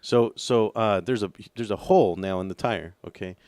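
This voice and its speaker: A man's voice: fairly low pitch, normal speed, darkish tone, no room sound. A man co-hosting a casual radio talk show, telling a story with stammers and repeated words.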